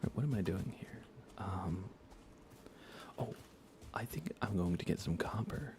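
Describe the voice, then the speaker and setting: A soft-spoken man chatting casually on an ASMR gaming livestream, relaxed and often slipping into a whisper. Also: deep voice, a little slow, background noise. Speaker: livestreamer and host.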